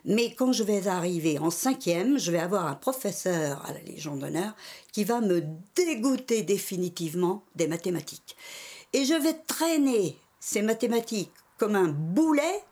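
An older French woman recalling her schooling and early adult life in an interview, telling it in a measured way in the present tense. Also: mid-range voice, animated, darkish tone. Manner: measured storytelling in the historic present. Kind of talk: oral history interview.

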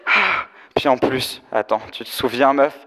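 A young man playing a flamboyant, fashion-obsessed DJ friend, gossiping in trendy slang with theatrical, drawn-out exclamations in comic audio fiction. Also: medium pitch, animated, sharp tone. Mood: gossipy, mocking. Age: teenager or young adult. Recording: echoey hall, live stage performance.

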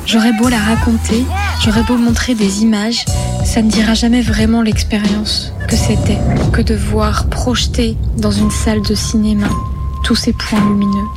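Even-toned woman narrating over loud music. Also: mid-range voice, moderate pace. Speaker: radio columnist. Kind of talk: scripted, poetic radio essay narration.

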